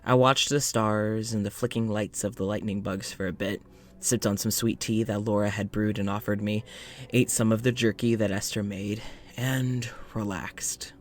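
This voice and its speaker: A man's voice: on the low side, steady tone, moderate pace, close to an everyday voice. A man playing a polite, uneasy scientist in an audio drama, speaking in a reflective, hesitant, conversational way, as if into a journal. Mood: relaxed, reflective. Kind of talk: audio drama monologue and dialogue.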